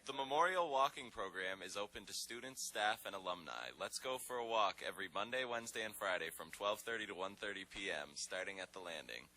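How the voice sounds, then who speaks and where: A man reading a campus public service announcement in a steady, plain way. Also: lowish voice, fairly quick, hardly any pauses, a little hiss or hum, rough recording.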